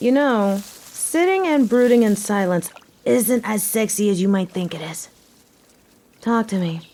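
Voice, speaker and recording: A woman's voice: mid-range voice, expressive, measured pace, warm tone. A woman playing a tough, sardonic but caring young biker girlfriend in a roleplay audio drama, talking in casual slang with a teasing, wry manner. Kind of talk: scripted roleplay audio drama, intimate one-sided conversation. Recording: faint background noise.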